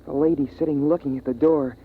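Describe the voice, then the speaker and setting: A man's voice: fairly high pitch, steady tone, fast, a little hiss or hum, lightly acted. A young male actor playing an earnest, wondering boy in a 1950s radio drama, with plain, homespun phrasing. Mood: reflective. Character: earnest, wondering young boy narrating a bleak frozen world. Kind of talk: 1950s radio drama acting and first-person narration. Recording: tinny vintage radio recording with music.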